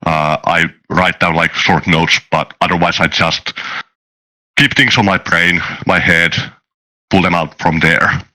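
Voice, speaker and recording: A man chatting casually as a guest in an interview, talking in a halting, rambling way. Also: low voice, fairly even intonation, pushed and bright, low-quality recording. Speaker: guest, tabletop game designer and dungeon master. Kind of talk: casual chat, interview answers.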